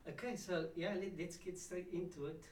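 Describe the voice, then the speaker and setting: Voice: medium pitch, fairly even intonation, normal speed, a little mellow, slight background noise. A podcast host opening the show and asking questions in a hesitant way, often restarting mid-sentence. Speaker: interview host. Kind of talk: casual interview hosting. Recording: roomy, distant mic.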